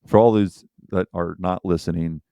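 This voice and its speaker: Deep-voiced earnest man, dry sound. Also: fairly even intonation, moderate pace, dark tone, no room sound. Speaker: podcast co-host, firm owner. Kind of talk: casual chat.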